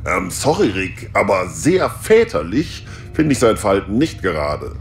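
Gruff opinionated crewmate, gravelly, low and lively. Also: male voice, unhurried, faint music behind. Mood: blunt.

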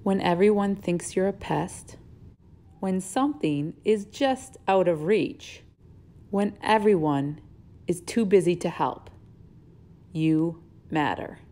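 Slow-paced woman reading to children. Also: lowish voice, animated, warm tone. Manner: simple, encouraging phrasing addressed to young listeners. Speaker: teacher reading a picture book aloud to children. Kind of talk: children's read-aloud and casual instruction.

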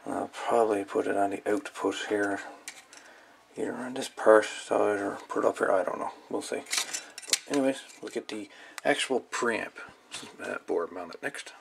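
A man with a fairly low, creaky voice talks casually through a hands-on hobby build. He is folksy and musing, pausing to think as he goes. The recording carries light background noise from the workbench. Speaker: hobbyist radio video maker. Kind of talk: casual hands-on workshop demonstration. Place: indoors at a workbench. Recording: light background noise, clicks of handled parts.

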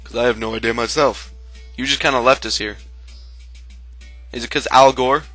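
A man's voice: mid-range voice, fairly even intonation, normal speed, sharp tone, no echo, soft background music. A man giving casual, joking game commentary in a sarcastic manner. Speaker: gameplay co-commentator.

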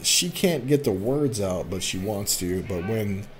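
Low-voiced man doing gaming commentary. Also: animated, a little slow. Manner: chatty, interjects reactions while reading. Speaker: gaming let's play host. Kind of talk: let's play commentary, reading visual novel text aloud. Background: game music.

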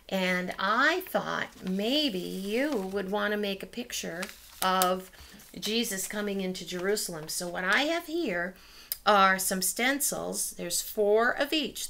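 A woman's voice: medium pitch, vocal fry, sharp tone. A middle-aged woman teaching a Sunday school lesson to children by video from a small room at home, chatty and talking straight to the kids. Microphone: laptop webcam.

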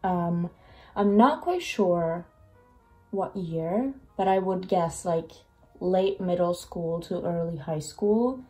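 A woman's voice: lowish voice, slow pace, soft background music. A young woman whose first language is Finnish, chatting casually in a reading vlog, a little under the weather, recorded on a webcam in a small room. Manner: chatty, animated, self-deprecating. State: slightly sick, voice lower than usual. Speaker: book vlogger.

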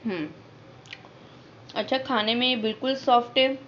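A chatty young woman, vlogging from her home kitchen. Her voice is bright and lively as she talks directly to viewers. The phone recording is slightly dull, with a faint hiss underneath. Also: fairly high pitch, normal speed. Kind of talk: casual home cooking vlog. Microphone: phone camera held in hand.

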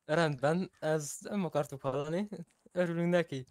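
A man's voice: on the high side, a little mellow, no room sound, studio quality. A man improvising police questioning in a roleplay game, speaking in a polite, official way.

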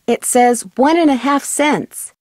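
A curious young American woman detective from a video game. Her voice sits a little high. She speaks politely, in a dry studio-clean recording. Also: slow, darkish tone, no room sound. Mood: curious, friendly. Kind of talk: video game character dialogue.

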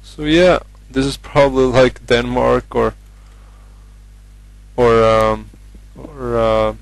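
A young man from Norway speaks English with a Norwegian accent while commentating a game. His delivery is flat and even, with little rise and fall. He hesitates often, filling gaps with ums and uhs as he chats. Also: mid-range voice, slow, vocal fry, no echo, low-quality recording. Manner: hesitant, many fillers, chatty. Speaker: gamer.

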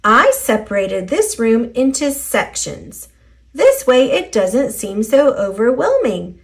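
A woman's voice: a little high, fairly bright tone, heavily acted. A woman voicing a cheerful, encouraging little storybook character who teaches children in an upbeat way. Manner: upbeat, instructive. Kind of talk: children's storybook read-aloud.

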